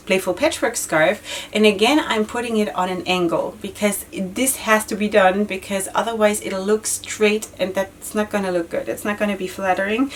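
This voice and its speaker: Woman, 30s, casual tutorial host, a little hoarse; a bit off-mic with faint hiss. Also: medium pitch, distant mic. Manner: chatty, repeats words, frequent fillers. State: losing her voice, occasional cough. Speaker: head-wrap tutorial host. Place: indoor studio with floral backdrop.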